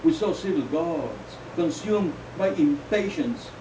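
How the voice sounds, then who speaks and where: A man, a poet at a live reading, speaking in a deliberate, declamatory way with halting phrases. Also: on the high side, expressive. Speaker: poet reading own poems. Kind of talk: live poetry reading with informal asides. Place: indoor live poetry event, recorded from off. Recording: roomy, distant, dull, noisy live recording.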